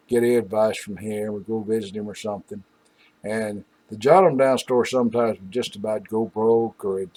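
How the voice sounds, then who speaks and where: An older man hosting an old-time radio show, reminiscing casually in a folksy, halting, rambling way with asides. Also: lowish voice, warm tone, rough recording.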